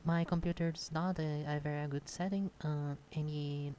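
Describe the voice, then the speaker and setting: A young woman from Brazil with a Brazilian Portuguese accent, speaking shyly and haltingly in an unscripted casual monologue at a home computer desk, close to her microphone, with many pauses and fillers. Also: low voice, steady tone, warm tone, a little hiss or hum. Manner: shy. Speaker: content creator.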